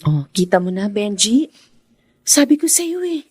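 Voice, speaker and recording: A caring, dry-miked older sister. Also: female voice, mid-range voice, very animated, measured pace, a little mellow, breathy edge, dry recording. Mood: gently chiding. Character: caring, gently chiding young older sister. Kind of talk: radio drama acting.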